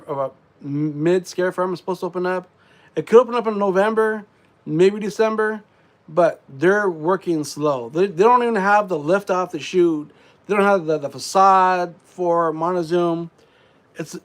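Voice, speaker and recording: Congested male streamer with a slightly high voice, loose rambling delivery full of ums. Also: normal speed. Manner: rambling, frequent fillers and throat clearing. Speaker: live streamer. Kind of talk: casual live stream chat.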